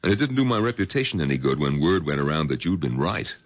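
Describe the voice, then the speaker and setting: An actor playing a skeptical, exasperated middle-aged doctor in a 1970s radio drama. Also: male voice, extremely low pitch, big pitch swings, fast talker, heavily acted.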